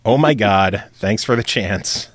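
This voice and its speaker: A man's voice: fairly low pitch, a little slow, dry recording. Male podcast host, casual, reads emails, laughs a lot, dry close mic. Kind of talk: casual chat, reading listener emails.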